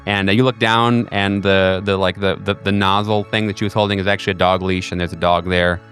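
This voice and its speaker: A man's voice: low voice, level tone, brisk, fairly bright tone, faint music behind. A man narrating as game master of a horror tabletop game, rambling with fillers and restarts.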